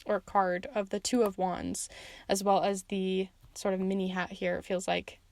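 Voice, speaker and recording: A woman's voice: medium pitch, flat delivery, moderate pace, vocal fry, a little mellow, dry recording. A woman giving a casual, chatty tarot reading to viewers in an encouraging, informal way, her voice starting to give out. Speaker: host, tarot and charm reader. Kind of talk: casual pick-a-card reading, addressing viewers.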